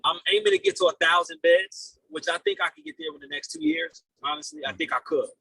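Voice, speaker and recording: A man in his late twenties speaking as a podcast guest in an animated, fairly high voice. He sounds bright and forceful, answering casually and earnestly, often doubling back on his words. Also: fast talker, gravelly, slightly rough, no echo, low-quality recording. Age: late 20s. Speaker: podcast guest, real estate investor.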